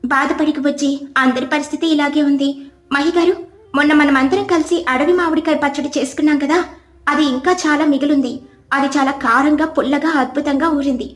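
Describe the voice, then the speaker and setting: A woman's voice: high-pitched, steady tone, fast talker, echoey room, close to an everyday voice. A woman voicing a kindly female bird leader in a cartoon, encouraging and giving directions to others. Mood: reassuring.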